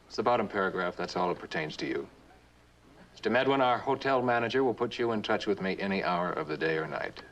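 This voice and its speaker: An actor playing a determined, sardonic traveler in a suspense drama, speaking in clipped, insistent, dryly sarcastic lines. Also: male voice, fairly low pitch, animated, fast talker, fairly bright tone, muffled recording, slight background noise. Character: determined, sardonic male traveler pressing for answers.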